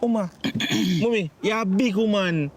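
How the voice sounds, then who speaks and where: A man with a Jamaican accent playing a distraught grown son in a domestic drama, pleading and reproachful, with halting, repetitive speech. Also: high voice. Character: distraught grown son reproaching his mother over money. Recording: faint background noise.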